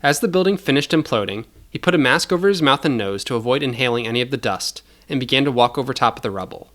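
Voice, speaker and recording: A man's voice: mid-range voice, lively intonation, quick pace, a bit bright. A man narrating scripted fiction as a storyteller, in a dramatic style.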